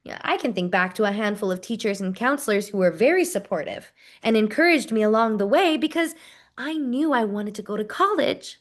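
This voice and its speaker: A woman's voice: on the high side, animated, a little fast, close to an everyday voice. A woman playing a friendly, grateful college program associate, chatting enthusiastically in a first-person stage narrative. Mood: earnest, passionate. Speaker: performer portraying a university program associate. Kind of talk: dramatized first-person interview narrative, conversational.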